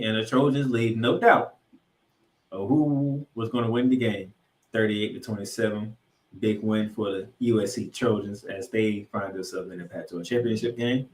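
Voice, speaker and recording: A man hosting a sports podcast, giving excitable football commentary in an announcer-like style with drawn-out, emphatic phrases. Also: medium pitch. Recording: echoey room, music underneath.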